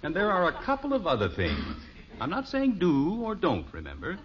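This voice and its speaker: A man's voice: fairly high pitch, wildly swooping pitch, moderate pace. An actor playing a flustered, wordy, well-meaning middle-aged suburban father in a 1950s radio sitcom, with an American accent and a hesitant, mock-formal manner. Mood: exasperated, preachy. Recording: muffled, noisy old radio recording.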